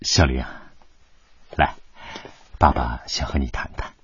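A man's voice: extremely low pitch, fairly even intonation, measured pace, breathy edge, highs cut off, heavily acted. A male actor playing a proud, devoted working-class father in a radio drama, middle-aged and emphatic, torn between sternness and tenderness. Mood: gentle, hesitant. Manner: emotional, sighing, emphatic. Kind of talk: Chinese radio drama acting.

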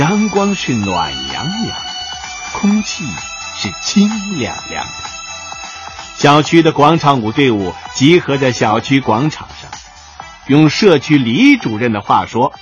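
A man narrating a light comedy audio drama in a playful scripted storytelling style. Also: mid-range voice. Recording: dull audio under prominent music. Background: music.

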